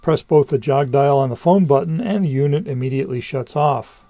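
A man's voice: medium pitch, fairly quick, mellow, dry recording. A man presenting a scripted product review as a voice-over narration.

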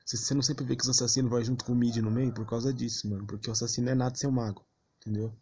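A man's voice: medium pitch, little pitch movement, very fast, a little mellow, breathy edge. A man with a Brazilian accent giving casual, slangy, blunt gaming commentary with mild cursing. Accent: Brazilian Portuguese. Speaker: gameplay streamer.

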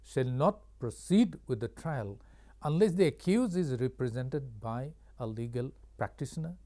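An Indian-accented man in his sixties delivering a scripted lecture in a formal, measured way with halting phrasing. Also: medium pitch, lively intonation, dark tone. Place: studio with curtain backdrop. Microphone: lapel mic.

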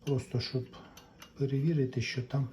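A man's voice: medium pitch, steady tone, moderate pace, warm tone. A man giving casual hands-on repair commentary, thinking aloud hesitantly as he works. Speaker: repair hobbyist presenting his own restoration. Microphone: handheld camera mic. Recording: dull sound with faint background noise.

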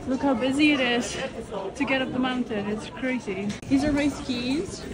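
A woman's voice: high-pitched, steady tone, moderate pace, over a noisy crowd, rough recording. A woman in her twenties from the Netherlands chatting casually and enthusiastically as a vlogger, speaking English as a second language. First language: Dutch. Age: 20s. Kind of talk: casual vlog chat.

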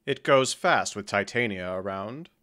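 A man's voice: on the low side, expressive, a little slow, sharp tone. A man playing a male fairy, a petty, wounded lover, with arch, passive-aggressive delivery in a conversational audio drama. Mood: petty, aggrieved.

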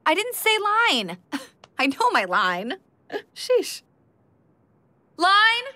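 High-voiced, overdramatic cartoon actress. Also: female voice, sing-song, slow, a bit bright, dry recording, full character voice. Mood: flustered. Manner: overdramatic, gushing. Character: bossy, theatrical, scatterbrained amateur actress putting on a play. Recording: music behind the voice.

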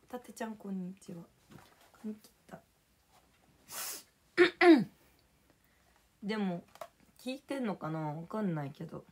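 Young female streamer, voice hoarse, casual chatty delivery, reads viewer names out between topics. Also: mid-range voice, darkish tone. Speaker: livestreamer. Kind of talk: casual live stream chat, thanking viewers for gifts.

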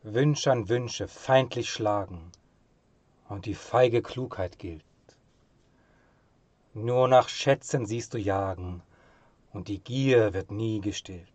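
A man reciting literary poetry in a solemn, measured and expressive manner, with phrase endings that drop to a hush. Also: lowish voice, dry recording.

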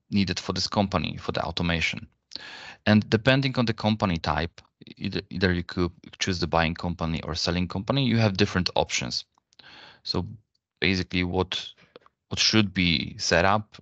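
Low-voiced man presenting a software demo. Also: no room sound. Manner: halting, unscripted, step-by-step explaining. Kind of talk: webinar software demo, explanatory. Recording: dull, lo-fi online webinar audio.

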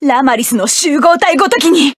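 A proud, hot-tempered young mecha pilot in a video game, snapping out clipped commands in a high, cutting voice. The sound is dry and close, with no room around it. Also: female voice, expressive, moderate pace, no echo. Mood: defiant, strained. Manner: sharp, commanding, clipped battle barks. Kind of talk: video game battle voice lines.

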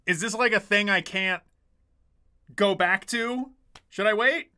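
A man's voice: high voice, unhurried, pushed and bright. A man in his twenties commentating casually on a livestream, joking and swearing as he reads chat, close to a desk microphone in a small room. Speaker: game streamer. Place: small dim room indoors. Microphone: desk microphone close in front.

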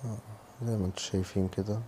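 Hoarse Egyptian man, quick and mellow. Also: fairly low pitch, little pitch movement, slight background noise. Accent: Egyptian Arabic. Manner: casual, explaining step by step. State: hoarse from a cold. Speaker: home cooking channel host. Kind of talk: cooking tutorial voice-over. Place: home kitchen.

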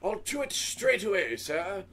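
A man's voice: high voice, sing-song, measured pace, a bit bright, slight background noise, full character voice. A man playing a formal, deferential butler in an old-time radio comedy. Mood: obliging. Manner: formal, servile.

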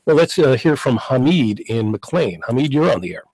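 A middle-aged man hosts call-in radio from a dry studio. His voice is slightly husky and middle-pitched, and his delivery is brisk as he steers the conversation. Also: sing-song, darkish tone, no room sound, rough recording. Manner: brisk, guiding, takes callers. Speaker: talk show host. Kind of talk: call-in talk radio hosting. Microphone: studio mic, headphones.

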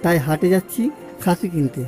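A man's voice: high voice, animated, moderate pace, music in the background. A man voicing a pious, earnest uncle who advises in a preachy way in an amateur cartoon. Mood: earnest, correcting. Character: pious, earnest uncle giving gentle moral advice.

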